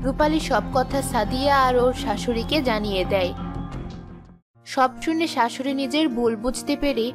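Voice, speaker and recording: A woman's voice: sits high, moderate pace, over music. A woman reading scripted story narration as the storyteller of a folk tale.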